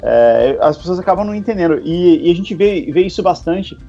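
A man's voice: sits high, animated, a little fast, gravelly, mellow. A man from Brazil co-hosting a casual podcast, telling stories with frequent hesitations and restarts. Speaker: podcast co-host. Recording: dull lo-fi sound, faint music.

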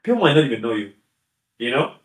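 A man's voice: mid-range voice, sing-song, quick pace. A man speaking as a podcast guest, chatty and laughing often, with restarts and asides. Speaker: guest YouTuber. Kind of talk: casual podcast conversation. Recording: echoey room.

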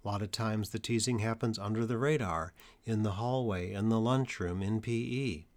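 A man working as a stuttering therapist, giving a measured educational talk to parents and teachers with deliberate, emphatic pauses. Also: lowish voice, darkish tone.

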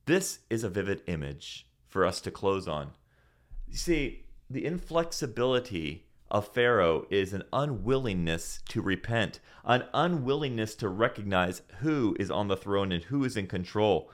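Male podcast preacher, grew up in Senegal, lowish voice, earnest, quotes scripture and asks rhetorical questions. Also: lively intonation, measured pace. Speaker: podcast Bible teacher. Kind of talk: preaching Bible study podcast.

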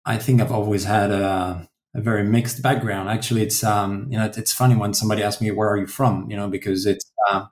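A man's voice: on the low side, flat delivery, fairly quick, hardly any pauses, roomy sound. A man in his forties from France, answering interview questions casually with lots of fillers and restarts. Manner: hesitant, many fillers and restarts. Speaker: guest, translation company founder.

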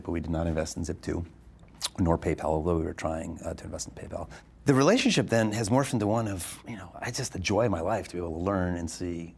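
A man from Arizona, a venture capitalist answering interview questions in a fast, animated way with quick restarts. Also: deep voice, vocal fry, slightly rough. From Arizona, USA.

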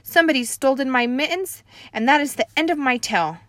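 A woman voicing an excitable, dramatic six-year-old girl who talks loudly and with a squeal, as she reads a storybook aloud. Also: medium pitch, moderate pace, no echo, lightly acted. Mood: nervous.

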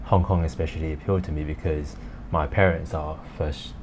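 Very deep-voiced man, thoughtful guest. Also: fairly even intonation. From United Kingdom. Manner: measured, thoughtful, with frequent fillers. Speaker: podcast guest. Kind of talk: casual chat. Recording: noticeable background noise.

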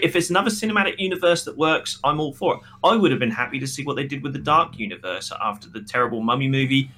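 A man co-hosting a casual podcast review, talking in an animated, opinionated way. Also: medium pitch. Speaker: podcast co-host. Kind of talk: casual film review discussion.

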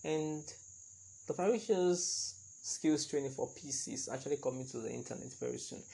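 A man's voice: mid-range voice, normal speed, vocal fry, dark tone. A young man hosting a casual solo podcast, chatty and rambling, laughing at his own remarks. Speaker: podcast host.

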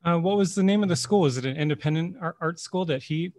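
A man in his forties, a curator, interviewing an artist in a relaxed, chatty way. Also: a little high, fast, dry recording. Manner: relaxed, chatty, uses "kinda" and "you know". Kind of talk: casual conversational interview.

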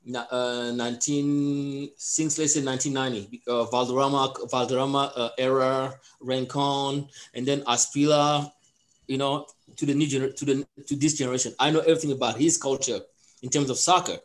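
A man's voice: mid-range voice, steady tone, moderate pace. A man from Cameroon who coaches a soccer team, talking casually on a podcast and often restarting his sentences. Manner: rambling, with many restarts and fillers.

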